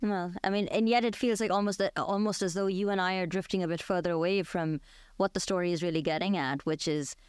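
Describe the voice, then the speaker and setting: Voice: medium pitch, steady tone, a little fast, clear, resonant tone, dry recording, clean studio sound. A radio host who leads a thoughtful, conversational discussion.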